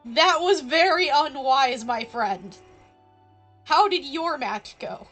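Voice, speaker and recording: A woman live streamer giving playful, deliberate, mock-solemn commentary over a video game. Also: sits high, expressive, fairly bright tone, soft background music.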